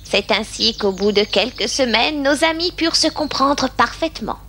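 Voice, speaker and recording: Female cartoon storyteller, high lively voice, scripted narration on a noisy track. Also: moderate pace.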